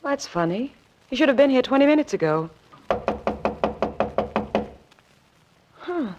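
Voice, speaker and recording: A woman's voice: sits high, sing-song, a little fast, background noise. An actress playing a cool, secretive and anxious woman in trouble in a 1940s radio drama. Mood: brittle. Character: anxious, cool, secretive woman being blackmailed, pleading for help. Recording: dull vintage 1940s radio recording, faint music.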